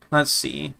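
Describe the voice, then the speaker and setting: A man with a slightly raspy voice from a sore throat, chatting casually while reviewing games, rambling with many restarts and fillers. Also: on the high side. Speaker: gaming streamer. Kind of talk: casual game review chat.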